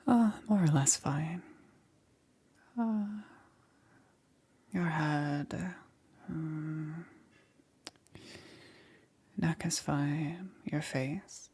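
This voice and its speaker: A woman playing a soft-spoken, secretive witch in an intimate ASMR roleplay, soothing and hesitant, often dropping into a whisper. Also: deep voice, drawn-out words, long pauses, heavily acted. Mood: careful, attentive. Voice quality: breathy, husky, often whispering.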